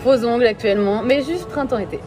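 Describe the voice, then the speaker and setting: Woman with a metropolitan French accent, podcast guest. Informal, expressive and playful chat, clear music underneath. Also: fairly high pitch, fast. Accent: French from France. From France. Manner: playful, giggly, expressive, repeats words for emphasis. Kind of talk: casual chat.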